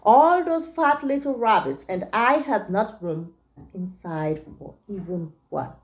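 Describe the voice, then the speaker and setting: A woman voicing a mild, contented male lion from a storybook, musing slowly. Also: medium pitch, lively intonation.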